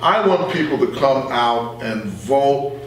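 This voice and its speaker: Male interviewee, middle-aged, mid-pitched, earnest and halting, heard in a strongly echoing room. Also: expressive, slight background noise. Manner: earnest, halting delivery with repeated phrases. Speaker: radio journalist interview guest. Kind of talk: conversational political interview. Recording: very echoey room, far from the mic.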